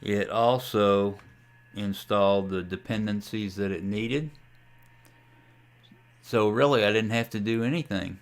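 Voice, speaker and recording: A man giving a casual, folksy tutorial as a ham radio hobbyist, talking through a software demo in an unhurried way. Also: fairly low pitch, clear, resonant tone. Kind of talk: casual screen-share tutorial.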